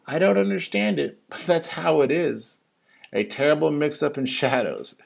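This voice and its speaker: A man who works as a teacher reads a children's story in rhyming verse, with an expressive, sing-song delivery that stresses key words. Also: a little high, normal speed, gravelly. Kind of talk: animated storybook read-aloud of rhyming verse.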